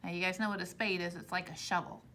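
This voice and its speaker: Bright-voiced woman reading to children. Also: fairly low pitch. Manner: animated, pausing to explain to young listeners. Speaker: teacher reading aloud. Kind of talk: expressive storybook read-aloud for children.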